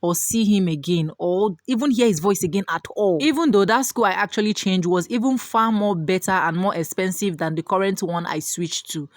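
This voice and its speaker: A woman's voice: mid-range voice, a little fast, dry recording, studio quality. A young Nigerian woman vlogger telling a personal story in a casual, earnest way while cooking, dropping into Pidgin asides.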